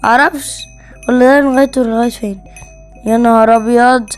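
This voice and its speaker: A child with an Egyptian accent chatting excitedly while playing a video game, playfully repeating phrases. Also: mid-range voice, fast talker, soft background music. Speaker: gaming video co-host.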